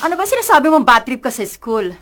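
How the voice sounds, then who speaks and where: An indignant, combative mother in a radio drama. Her voice is high, bright and forceful, fiercely protective in tone, over faint music. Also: big pitch swings, quick pace. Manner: forceful, outraged.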